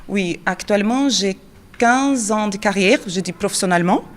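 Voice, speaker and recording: An actress in her thirties with a Brazilian Portuguese accent, speaking French as a second language in a mid-pitched voice. She answers questions and keeps pausing on fillers while she looks for the right word. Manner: searching non-native French, frequent fillers and quick laughs. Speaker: drama teacher and actress. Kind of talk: interview answers.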